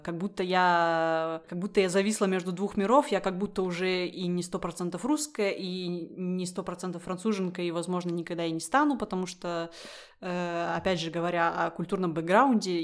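Woman, young adult, from Russia, lowish voice, reflective casual chat, lots of fillers, laughs a lot. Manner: thoughtful, many fillers and laughs. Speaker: guest. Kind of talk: casual conversation.

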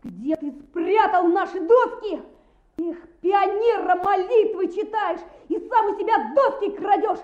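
A woman playing a bold, bossy schoolboy ringleader in a children's radio play, with brisk commanding delivery. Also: very high-pitched, a little mellow. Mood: demanding, indignant. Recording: roomy, dull old radio recording.

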